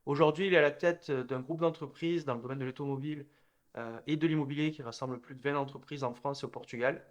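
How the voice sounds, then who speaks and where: A young man hosting an interview, asking questions briskly with many filler hesitations. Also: medium pitch, fairly even intonation. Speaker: interviewer, host. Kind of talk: interview host.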